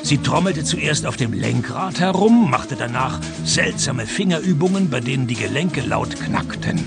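An actor playing a wry male private detective in a radio crime comedy, speaking in a dry, ironic, deadpan way. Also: medium pitch, moderate pace, gravelly, over loud music. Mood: dry narration. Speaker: narrator and lead actor. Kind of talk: scripted radio crime comedy with first-person narration.

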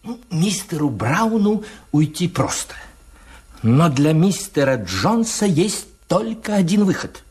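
An actor playing a scheming ship's purser in a radio drama, speaking in a deliberate, theatrical way. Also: male voice, a little high, big pitch swings, darkish tone, slightly rough, full character voice. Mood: sly, confident. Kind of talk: Soviet radio drama acting.

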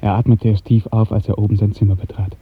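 Husky, low-voiced male radio narrator. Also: little pitch movement, quick pace. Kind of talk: scripted literary narration, 1950s radio drama. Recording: muffled, tinny 1950s radio recording.

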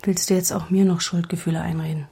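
An actress in a German radio crime drama playing a doctor's second wife, speaking in a breathy, creaky half-whisper, intimate and gently challenging. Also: female voice, fairly low pitch, little pitch movement, fast, exaggerated voice. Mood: hushed, reproachful. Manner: hushed, intimate.

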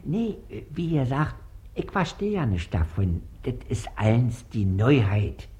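A muddled, gossipy office messenger in a radio comedy. He speaks very slowly and ominously, repeating himself at a middling pitch. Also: big pitch swings, dark tone. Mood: portentous. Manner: ponderous, repetitive, portentous. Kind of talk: stage-comedy radio acting.

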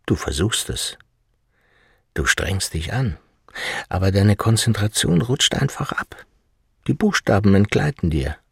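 An actor playing the bitter, resigned inner voice of an elderly professor in a radio drama, measured and sardonic. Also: male voice, lowish voice, sing-song, creaky, breathy edge, no echo, clean studio sound, exaggerated voice. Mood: grim.

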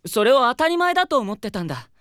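A woman's voice: on the high side, big pitch swings, fast talker, fairly bright tone, no room sound, studio quality. A woman voicing a proud, haughty boy who dreams of being an idol, in a Japanese audio drama with curt boyish speech. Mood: proud, bitter. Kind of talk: Japanese anime-style audio drama acting.

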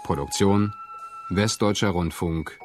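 Deep slow guy announcing credits on old 60s radio, formal, music playing behind.

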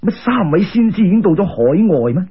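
A man's voice: sits high, very animated, a little fast, breathy edge, lo-fi sound, heavily acted. A man voicing an earnest, anxious disciple who pleads deferentially and in a hurry, in a storytelling recording. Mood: surprised. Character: earnest, anxious male disciple seeking immortality.

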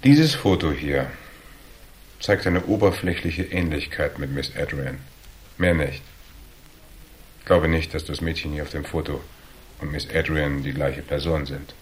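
A hardboiled investigator with a low, deep voice in a German radio crime drama. He is cool and shrewd, setting out each point with dry, measured, needling precision. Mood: cool, dissenting. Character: cool, shrewd hardboiled male investigator, calmly laying out deductions.

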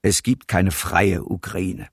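A low-voiced, ruthless Nazi commissioner. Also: male voice, steady tone, takes it slowly, slightly rough, dry recording, studio quality, full character voice. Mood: cold, commanding. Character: ruthless male Nazi German occupation commissioner giving orders. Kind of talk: radio documentary drama, reading an official speech.

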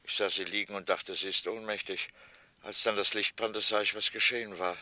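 A detached widower in old german radio drama, low-ish, really bright tense voice, subdued delivery. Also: male voice, fairly even intonation, brisk, no room sound. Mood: numb. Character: husband who found his murdered wife, subdued, detached.

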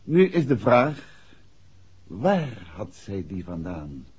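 An actor playing a shrewd, assured gentleman detective in a 1960s Dutch radio play, with a deliberate, probing delivery. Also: lowish voice, big pitch swings, husky, full character voice. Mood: probing, insistent. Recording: dull, muffled vintage radio recording.

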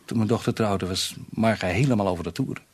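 Concerned business colleague, lowish husky voice, smoothing but insistent, radio drama. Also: male voice, brisk. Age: middle-aged. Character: sociable but firm male business colleague, tactful and concerned.

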